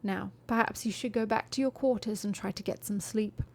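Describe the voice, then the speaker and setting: A woman reading as a calm, stern baron. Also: mid-range voice, brisk, creaky. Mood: gently commanding. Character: stern middle-aged male baron, lord of a castle.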